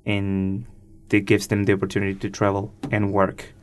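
A nineteen-year-old man from Chile giving casual, thoughtful interview answers as a radio guest, pausing hesitantly as he goes. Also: lowish voice, completely flat delivery.

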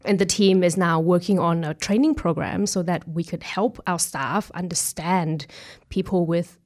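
A woman's voice: fairly low pitch, fairly even intonation, normal speed, darkish tone. A woman from Thailand answering questions casually as a guest in an interview. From Thailand, from Bangkok. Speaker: interview guest, web developer at a software company.

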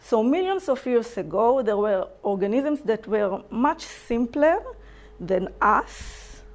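Young israeli woman giving a talk, slow and careful, highish voice, stumbles and restarts words. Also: expressive. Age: young adult. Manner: halting, self-correcting non-native English with restarts. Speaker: lecturer. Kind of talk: public lecture. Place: lecture room.

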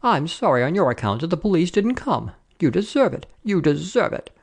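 A man's voice: on the high side, sing-song, brisk, vocal fry, husky, pitched above the performer's own voice, full character voice. A man voicing an indignant French café woman in a short story, screaming and scolding as she repeats herself.

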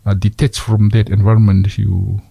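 A man with a Fijian accent hosting a community radio chat, halting with many fillers and slipping into Fijian phrases. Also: on the low side, level tone, creaky, dark tone, slightly rough, no echo. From Fiji. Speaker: radio host.

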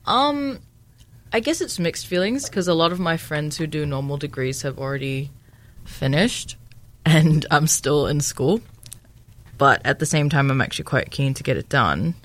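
A woman's voice: sits low, lively intonation, normal speed. A woman in her twenties from Samoa, a medical student interviewed as a guest, thoughtful and hesitant with many ums. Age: 20s. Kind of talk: casual interview.

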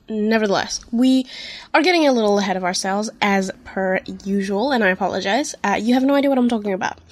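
A woman hosting a podcast in a rambling, chatty way, her voice a little croaky and nasal from being unwell. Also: a little high, no echo. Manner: rambling, chatty, frequent ums and apologies. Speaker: podcast host. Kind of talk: casual solo podcast chat.

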